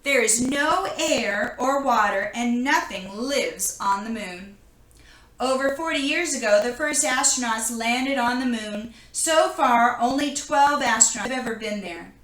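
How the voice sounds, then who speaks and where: Bright-voiced woman reading aloud, roomy echo. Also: fairly high pitch, lively intonation, a little slow. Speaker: storybook reader. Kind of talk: read-aloud of a children's picture book. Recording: roomy, echoing indoor sound.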